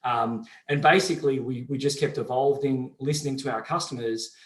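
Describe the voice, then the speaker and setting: A middle-aged man with a softened Australian accent, answering interview questions in a measured, explanatory way with frequent fillers. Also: mid-range voice, fairly even intonation. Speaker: company CEO, guest. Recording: roomy echo.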